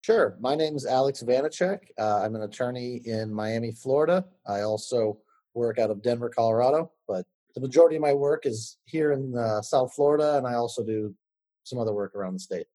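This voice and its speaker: A man in his thirties, an attorney giving a podcast interview, answering in a measured way with fillers and restarts. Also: mid-range voice, warm tone.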